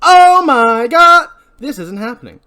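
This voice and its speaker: An upset, insistent young woman in an amateur script reading as a reader puts her on. The delivery is hammy, lifted in pitch and full of big swings. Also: huge pitch swings, fast. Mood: horrified.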